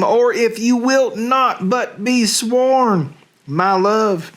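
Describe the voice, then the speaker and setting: A man playing a lovestruck young noblewoman, reading Shakespearean verse in a halting, theatrical way. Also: high-pitched, fairly bright tone, exaggerated voice. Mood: yearning.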